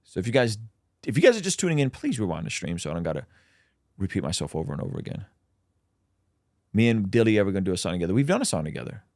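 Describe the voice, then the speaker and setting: A man's voice: on the low side, sing-song, very fast, pauses often, darkish tone, no echo, studio quality. A man, a native Russian speaker, hosting a casual livestream where he reads out chat questions and answers them with plenty of ums.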